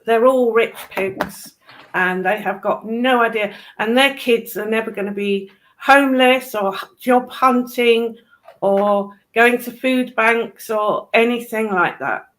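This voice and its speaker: Woman, fairly high voice, unhurried slow pace, casual conversation. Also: fairly bright tone, rough recording. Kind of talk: casual chat.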